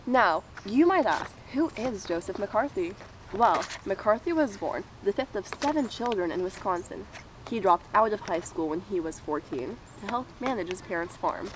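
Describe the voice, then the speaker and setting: A woman's voice: mid-range voice, animated, normal speed. Young woman narrating, faint noise. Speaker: co-presenter. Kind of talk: scripted biographical narration.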